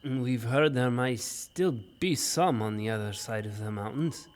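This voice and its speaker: A man's voice: medium pitch, measured pace, close to an everyday voice. A man playing a stern male knight who guides a group and gives curt commands.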